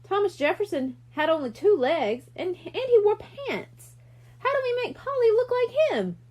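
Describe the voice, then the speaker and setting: A woman reading a children's audiobook, voicing an excitable, goofy young boy with an exclamatory, boastful manner. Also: very high voice, normal speed, highs cut off, voice pushed up. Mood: puzzled.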